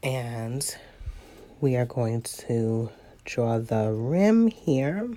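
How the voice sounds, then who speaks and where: A woman's voice: a bass voice, extremely slow, dark tone. Sniffly woman chatting through a painting lesson. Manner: chatty, laughs often, talks to viewers. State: stuffy nose, sniffly. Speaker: watercolor painting instructor. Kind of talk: casual live tutorial talk.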